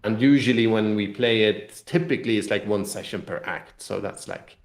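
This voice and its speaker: A man's voice: fairly low pitch, normal speed. A man in a casual interview explaining a tabletop game in a rambling, loosely hedged way. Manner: rambling, explanatory, hedging with "kind of". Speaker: game publisher representative being interviewed. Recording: roomy, echoey sound.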